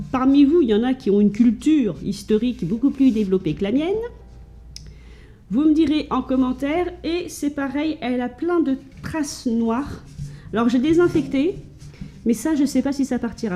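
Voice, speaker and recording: A woman's voice: on the high side, mellow. A woman from France chatting casually to camera at home, showing her thrift finds with many fillers and restarts. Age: adult with children.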